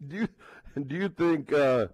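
A man hosting a casual sports radio talk show, speaking in a halting, repetitive way and often restarting his sentences. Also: on the high side, darkish tone, breathy edge, no room sound.

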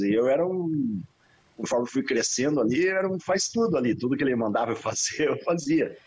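A man's voice: mid-range voice, slight background noise, rough recording. A cameraman talking casually about his early work, ending phrases with tag questions. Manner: relaxed, trailing "né?" tags. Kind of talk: casual reminiscing.

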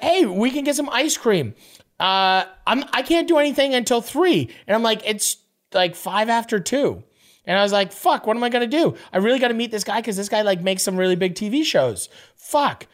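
A man's voice: very high voice, normal speed. A young man co-hosting a podcast, telling a long rambling story in casual chat, repeating words and jumping to quoted voices. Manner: animated, rambling, repeats words and restarts, swears. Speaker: podcast co-host.

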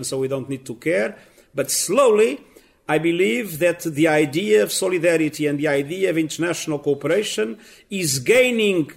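A man giving measured political commentary as an interviewed guest, speaking slowly and deliberately with frequent pauses. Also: fairly high pitch, vocal fry.

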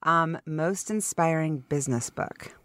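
A woman hosting a podcast interview, upbeat and enthusiastic, firing off quick questions. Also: low voice, no echo. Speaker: podcast host.

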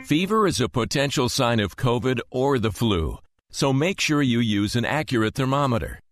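A man reading a scripted advertisement in a measured, informative manner as an announcer. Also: medium pitch, dry recording, clean studio sound. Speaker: commercial announcer. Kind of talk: scripted ad read.

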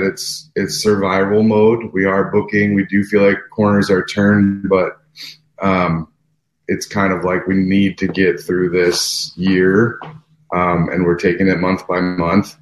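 A very low-voiced man speaks in a steady, flat delivery with little rise and fall. The room around him is noticeably echoey. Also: slow. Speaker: wedding venue co-owner, guest. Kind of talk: interview talk.

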